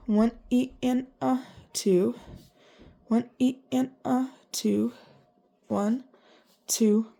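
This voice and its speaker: A woman music teacher with a congested voice, explaining rhythm reading and counting beats aloud in strict, even syllables. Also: on the high side, gravelly. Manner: chatty and self-deprecating between counts.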